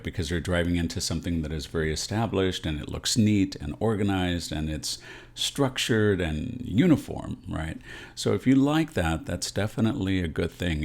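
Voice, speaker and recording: American male realtor host, very low voice, explanatory direct-to-camera advice delivery with frequent check-ins. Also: a little mellow. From San Antonio, Texas, USA. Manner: explanatory, often checks in with "right?".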